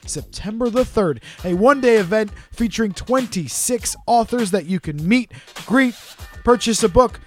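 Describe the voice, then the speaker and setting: A fairly high-voiced man hosts his podcast in a studio with a chatty, playful delivery. Faint music plays beneath his talk. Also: lively intonation, normal speed, few pauses. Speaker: podcast host. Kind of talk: casual podcast interview.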